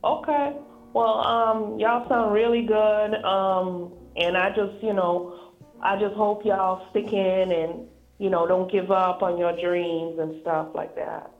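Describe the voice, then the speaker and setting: A woman's voice: medium pitch, steady tone, slow, narrow, tinny audio, faint music behind. A woman from Atlanta calling in to a show, asking questions in a friendly way with lots of fillers. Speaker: caller. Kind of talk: call-in question.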